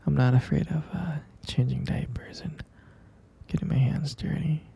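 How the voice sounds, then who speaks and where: A man playing a devoted, soothing expectant father in an intimate ASMR roleplay, murmuring affectionately in a soft, breathy, husky whisper. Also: medium pitch, steady tone, full character voice. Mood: earnest, soft. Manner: slow, murmuring, reassuring, affectionate.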